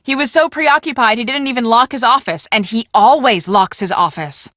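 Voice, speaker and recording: An actress playing a brisk, bossy young woman on a storm-chasing team in a video game, speaking emphatically and expressively. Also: fairly high pitch, a bit bright, no echo, clean studio sound, lightly acted. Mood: troubled. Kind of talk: scripted video game dialogue.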